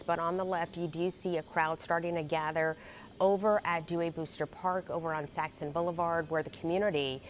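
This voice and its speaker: Woman news anchor, low voice, live coverage, loose conversational delivery, faint ambience. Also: a little hiss or hum. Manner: conversational, many fillers and restarts.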